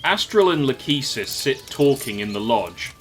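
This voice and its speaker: A man's voice: medium pitch, big pitch swings, moderate pace, a bit bright, slight background noise. A man narrating a scripted fantasy story in the present tense.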